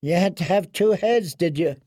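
A man voicing a menacing washerwoman who taunts in a slow, sweetly cruel way. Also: sits high, mellow, no room sound, studio quality, voice pushed up. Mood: mocking. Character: mocking, menacing older washerwoman.

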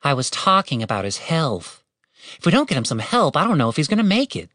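A woman's voice: low-pitched, sing-song, fairly quick, a bit bright, no echo, studio quality, pitched above the performer's own voice, full character voice. A woman playing a defensive, exasperated young man in a fantasy audiobook, talking casually. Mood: worried. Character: testy, defensive young man, reluctant adventurer.

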